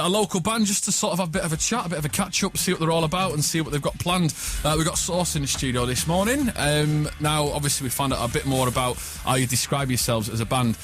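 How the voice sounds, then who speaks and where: A male breakfast radio presenter talks at a quick clip. His voice sits slightly high, and his delivery is chatty, informal and playful, with faint music behind him. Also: fairly bright tone. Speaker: radio breakfast show host. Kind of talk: casual live radio chat with guests. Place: radio studio. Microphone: studio microphone.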